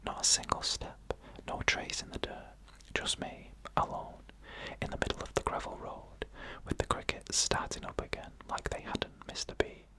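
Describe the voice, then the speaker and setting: Asmr creepy story narrator, all whispered, sharp bright whisper, slow with lots of little pauses. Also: poor audio. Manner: slow, hushed, deliberate phrasing with pauses. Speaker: ASMR storyteller reading first-person accounts.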